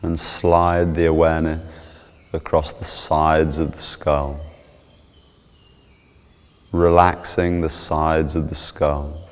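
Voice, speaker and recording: Very deep, slow man guiding meditation. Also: clear, resonant tone, long reverb tail. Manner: calm, soothing, measured with long pauses. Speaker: yoga and meditation teacher. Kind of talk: guided meditation instruction.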